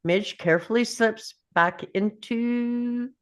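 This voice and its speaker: A woman's voice: on the high side, slow pace, smooth. A woman reading screenplay stage directions as scripted narration at a table read.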